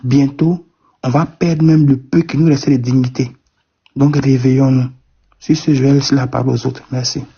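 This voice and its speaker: Man, very husky, mellow dark tone, indignant, lo-fi call sound. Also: mid-range voice, fairly even intonation, moderate pace. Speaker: panel participant. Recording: dull lo-fi call audio.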